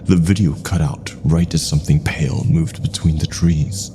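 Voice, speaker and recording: A man with a gravelly voice reading a scripted first-person horror story in a measured, brooding manner. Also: very deep voice, background noise.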